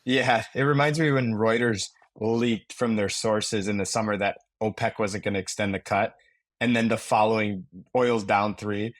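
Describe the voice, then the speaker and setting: A man co-hosting a casual market discussion, measured and conversational. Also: lowish voice, fairly even intonation, no echo, clean studio sound. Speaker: podcast co-host.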